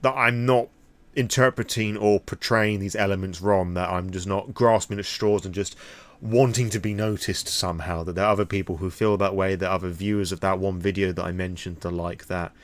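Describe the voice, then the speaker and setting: A man's voice: low-pitched, steady tone, fairly quick, dry recording. A British man rambling candidly through an impromptu vlog, opinionated and freely swearing. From Britain. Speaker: vlogger.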